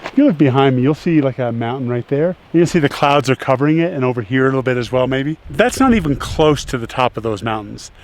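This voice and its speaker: A man vlogging outdoors on a mountain trail, relaxed with dry understatement. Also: mid-range voice, quick pace. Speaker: travel vlogger. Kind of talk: casual vlog narration while hiking. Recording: wind and outdoor noise.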